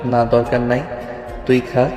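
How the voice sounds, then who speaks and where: A man playing a calm, principled, devout college student in an audio story, with a serious, restrained manner. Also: medium pitch, takes it slowly, lightly acted. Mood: serious, firm. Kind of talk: Bengali audio story acting. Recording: echoey long reverb, music bed.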